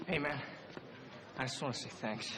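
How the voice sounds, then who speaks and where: An actor playing a timid, awkward young prison inmate in a cartoon film, hesitant and stammering. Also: male voice, medium pitch, moderate pace, a crowd in the background, natural voice. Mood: nervous, grateful.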